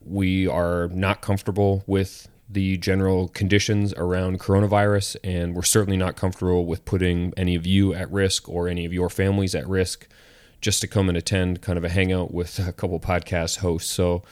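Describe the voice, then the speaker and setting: Deep-voiced relaxed male podcast host. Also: brisk, no echo. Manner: relaxed, rambling, frequent restarts. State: slightly congested. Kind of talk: casual podcast chat.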